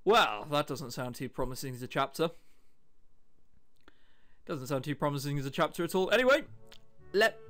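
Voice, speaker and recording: A man's voice: on the high side, animated, moderate pace, long pauses, fairly bright tone. A man giving chatty, casual playthrough commentary and reacting aloud to the story. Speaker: gaming video host.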